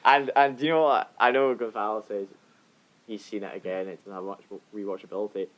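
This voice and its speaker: A man's voice: on the low side, fairly even intonation, a little fast, rough recording. A man co-hosting a podcast in casual film discussion, often repeating his openings to get a word in. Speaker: podcast co-host.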